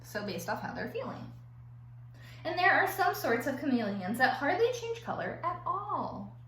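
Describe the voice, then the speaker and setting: A woman teacher reading aloud to children in an expressive, playful way, drawing out words for emphasis and adding chatty asides. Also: sits high, moderate pace. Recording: roomy echo with faint background noise.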